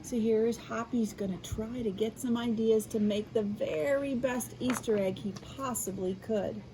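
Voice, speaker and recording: An American woman reading a picture book aloud to children in an expressive way, adding explanatory asides. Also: a little high, a little hiss or hum, poor audio. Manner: animated, unhurried, addressing children. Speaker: school media specialist reading aloud.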